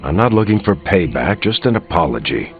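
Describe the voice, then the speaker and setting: A proud, stubborn game superhero with a deep voice. He sounds indignant and very serious about petty grievances. The audio is thin and phone-like, with music behind. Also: very animated, fairly quick. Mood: aggrieved. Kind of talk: video game dialogue acting.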